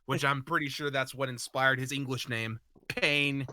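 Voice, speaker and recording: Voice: medium pitch, steady tone, normal speed, sharp tone, a little hiss or hum. A person chatting casually and jokily while playing and voicing a video game with a friend. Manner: swears casually, jokey. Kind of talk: casual gaming chat.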